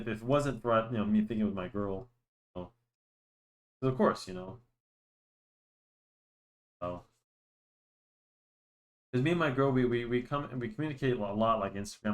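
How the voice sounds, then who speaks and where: A young man with a lowish voice talks loosely as he draws, looping back through restarts and fillers. He has a cold and sounds a bit nasal and stuffy, recorded on a desk microphone. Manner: rambling, lots of restarts and fillers. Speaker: drawing channel host. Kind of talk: casual chat while drawing.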